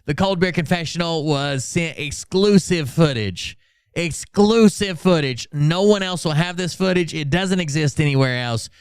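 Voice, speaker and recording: A man hosting a livestream with casual, sarcastic commentary, blunt and quick to swear. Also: fairly high pitch, smooth, no echo, clean studio sound. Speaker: livestream host.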